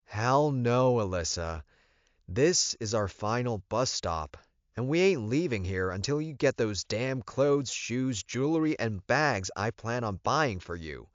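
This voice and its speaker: A man's voice: mid-range voice, expressive, measured pace, no room sound, lo-fi sound, voice pushed up, lightly acted. A man voicing a bossy, wealthy male werewolf leader in an audiobook, commanding and insistent. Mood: exasperated, insistent.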